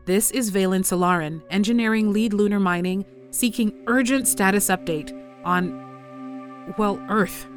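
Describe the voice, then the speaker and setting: A woman's voice: mid-range voice, normal speed, music in the background, lightly acted. A woman playing a worried space engineer in a sci-fi audio drama, urgently and formally reporting in. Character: worried female lunar mining engineer pleading for contact. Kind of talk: science fiction audio drama acting.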